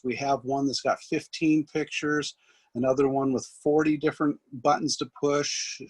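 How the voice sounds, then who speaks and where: A man giving an instructional software demonstration as a trainer, explaining in a halting way. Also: medium pitch, no room sound, low-quality recording. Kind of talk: instructional screen demonstration, loosely scripted.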